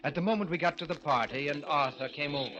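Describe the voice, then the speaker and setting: A man's voice: fairly high pitch, quick pace, highs cut off, crowd noise, lightly acted. An actor playing an urbane, scoffing rich man in a 1940s radio mystery, speaking in a suave, theatrical manner on an old recording. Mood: smooth, sociable. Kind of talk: 1940s radio mystery acting, narrating and in scene.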